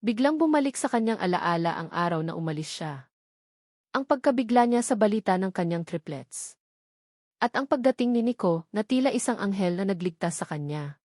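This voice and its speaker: A woman's voice: medium pitch, lively intonation, normal speed, a little mellow, dry recording, clean studio sound. A woman storyteller reading a scripted romantic drama in a dramatic narrating style.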